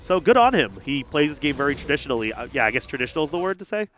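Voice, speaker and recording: A young man commentating a live fighting game tournament, joking and getting loud whenever the play heats up. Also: fairly high pitch, racing, soft background music. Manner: excitable, jokey, gets loud when hyped. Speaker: esports commentator. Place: large hangar hall at a gaming event.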